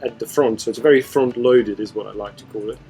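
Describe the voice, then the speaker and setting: A man hosting a relaxed interview, chatting loosely with lots of "you know" and "right?". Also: medium pitch, fast, faint music behind. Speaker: host, property mentor. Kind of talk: casual interview chat.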